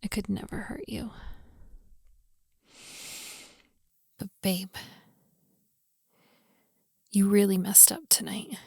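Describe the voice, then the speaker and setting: A woman's voice: medium pitch, fairly even intonation, a little slow, dark tone, dry recording, clean studio sound. A woman playing a hot-tempered, lovestruck young daughter of a mafia boss in a girlfriend roleplay audio drama, exasperated and scolding. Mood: sarcastic, menacing. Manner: scolding, exasperated, with sighs and breathy asides.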